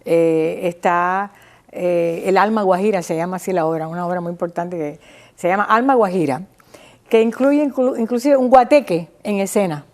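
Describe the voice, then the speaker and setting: A woman from Cuba with a Cuban accent gives an informal, didactic talk, pausing and restarting and often checking with her listener. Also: lowish voice, a little mellow. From small town in Cuba. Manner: didactic, halting, checks with listener using tag questions. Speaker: guest speaker on Cuban theatre history.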